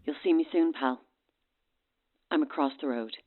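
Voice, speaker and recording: An actress playing a secretive, outwardly concerned friend, through a telephone filter, in a naturalistic horror audio drama. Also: female voice, deep voice, moderate pace, a little hiss or hum. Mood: quiet, cold. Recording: thin, narrow phone line.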